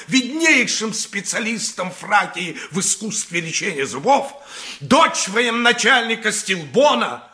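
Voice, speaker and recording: An actor playing a solemn advocate addressing ancient judges, formal and oratorical in a theatrical radio play manner. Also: male voice, sits high, bright, forceful tone, exaggerated voice.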